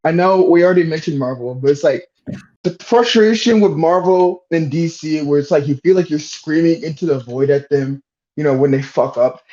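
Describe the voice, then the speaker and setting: A man's voice: on the high side, warm tone. A young man in casual podcast chat, emphatic and slangy with frequent swearing, on a lo-fi mic. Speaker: podcast panelist. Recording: dull lo-fi mic.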